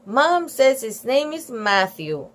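A skeptical, excitable young boy out of a storybook, played by a woman reader. The voice is high and very animated. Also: slow, exaggerated voice. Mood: correcting.

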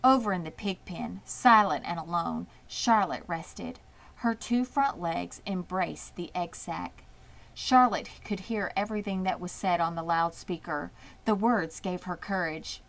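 A woman's voice: fairly low pitch, measured pace, creaky, low-quality recording. A woman narrating a children's book as a scripted read-aloud. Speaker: storybook reader.

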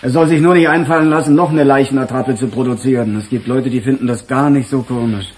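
An actor playing a weary, grumbling police chief with a husky voice in a radio crime drama. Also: male voice, mid-range voice, fairly even intonation, fast talker, darkish tone, natural voice. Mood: firm.

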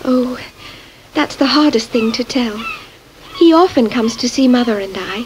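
Eager, confiding young Victorian woman, high voice with lively swings, radio play. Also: a little slow, a little hiss or hum, rough recording. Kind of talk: 1970s radio drama.

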